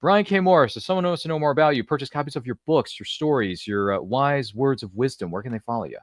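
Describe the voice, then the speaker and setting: A man's voice: mid-range voice, expressive, fast talker, dry recording, clean studio sound. A man hosting an online panel show in a casual, jokey and effusive way, often repeating himself for emphasis. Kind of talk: casual hosting banter and sign-off.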